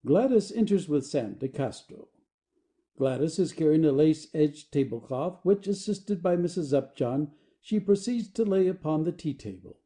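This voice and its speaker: A man reading the stage directions of an audio play as expressive scripted narration. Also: on the high side, moderate pace.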